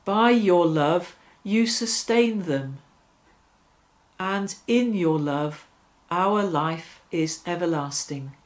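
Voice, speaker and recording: A middle-aged woman leading devotional prayers and reflection in a deliberate, phrase-by-phrase way. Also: on the low side. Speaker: prayer leader. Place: small domestic room, seated on a sofa.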